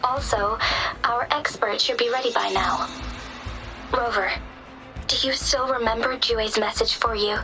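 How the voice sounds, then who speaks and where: A woman playing a composed, dutiful young magistrate in a video game cutscene, speaking in a formal, measured, gracious way. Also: fairly high pitch, steady tone, poor audio. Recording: dramatic music behind the voice.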